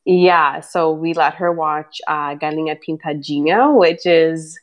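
A woman in her thirties with a light Brazilian Portuguese accent, talking casually as an interviewee, full of "like" fillers and quick to laugh. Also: low-pitched, fairly even intonation. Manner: chatty, many "like" fillers, laughs easily.

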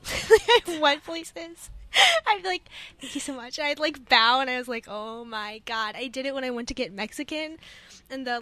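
A young woman co-hosts a college radio show, her voice bright and forward, sitting a little above the usual pitch. She chats casually and tells stories with lots of laughs and acted-out quotes, recorded in a radio studio. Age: young adult. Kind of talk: casual chat.

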